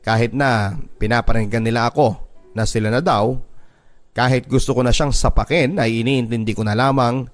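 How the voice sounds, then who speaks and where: A man's voice: medium pitch, steady tone, moderate pace. A man with a Filipino accent narrating a first-person story as a scripted radio drama. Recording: music behind the voice. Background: background music.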